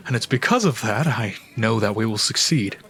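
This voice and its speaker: A man acting as a wise, devout seer in a fantasy audio drama, solemn, measured and reassuring. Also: fairly low pitch, expressive, fairly bright tone.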